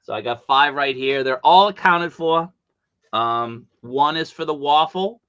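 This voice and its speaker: A man hosting a live stream from home, chatty and animated, with a big voice and coming down with a cold. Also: a little high, a little slow, clear, resonant tone, no echo, highs cut off. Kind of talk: casual live stream chat.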